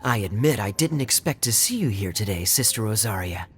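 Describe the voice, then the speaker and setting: A man playing a smooth-talking sly charmer. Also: fairly low pitch, animated, fairly quick, natural voice. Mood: teasing. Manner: smooth, teasing, playful. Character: suave teasing young man, sly charmer. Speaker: tavern customer. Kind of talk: game cutscene voice acting.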